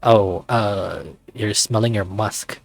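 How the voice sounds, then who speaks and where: A man's voice: on the low side, fairly even intonation, takes it slowly, gravelly, no echo. A man reading a visual novel aloud as a let's-play narrator, slipping into casual reactions. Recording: music playing behind.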